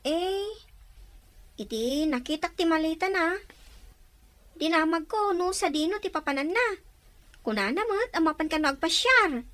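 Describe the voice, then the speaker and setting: An actress plays a timid young village girl in an Ilocano radio drama. Her voice is extremely high and bright, with an Ilocano accent and lively pitch movement. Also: unhurried, long pauses, heavily acted. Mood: timid, recounting.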